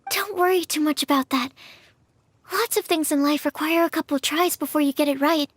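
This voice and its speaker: A woman playing a gentle, encouraging young alchemist's assistant in a video game, explaining things patiently. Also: high voice, fairly quick, no echo, natural voice. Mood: reassuring, confiding. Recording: game music behind the voice.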